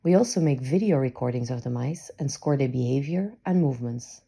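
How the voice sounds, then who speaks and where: Low, dark, husky female narrator. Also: expressive, fairly quick. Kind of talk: scripted explainer narration.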